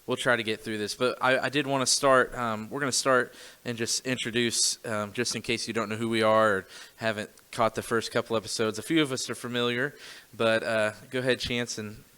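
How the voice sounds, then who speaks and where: Bright, clear-voiced young man hosting. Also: medium pitch, flat delivery, a little fast. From Joplin, Missouri. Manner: hesitant, many fillers and restarts. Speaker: podcast host. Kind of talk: casual church podcast conversation.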